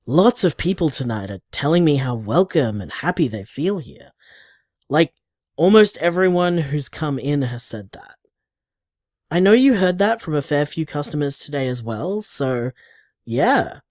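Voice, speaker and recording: An upbeat, affectionate bar co-owner who gushes about the place and its people, as an actor voices them. The voice sits at a middle pitch with lively, sing-song movement. The sound is dry and close. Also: measured pace, no room sound. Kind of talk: audio drama voice message.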